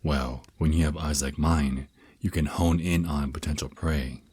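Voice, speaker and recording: A man's voice: very deep voice, fairly even intonation, slightly rough, full character voice. A man voicing a teasing, protective vampire naga boyfriend who mentors his lover in an intimate, reassuring ASMR roleplay. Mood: calm, instructive. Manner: soft teasing endearments, reassuring, unhurried. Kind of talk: ASMR roleplay, intimate one-sided dialogue.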